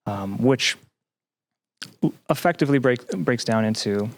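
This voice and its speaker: A man talking casually as an interview guest, halting often as he searches for words. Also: medium pitch, expressive, no room sound. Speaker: podcast guest, graduate student.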